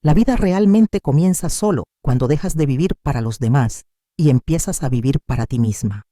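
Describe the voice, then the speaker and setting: Gentle, courteous older Portuguese man, mellow-voiced narrator-acted. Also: medium pitch, animated, normal speed, no echo, studio quality, close to an everyday voice. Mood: gentle encouragement. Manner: polite, encouraging. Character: gentle, courteous Portuguese widower in his sixties.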